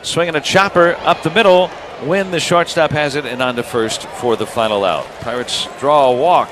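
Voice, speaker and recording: An American man doing live radio baseball play-by-play, talking conversationally and pausing between pitch calls. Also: noisy background. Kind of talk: live radio sports commentary.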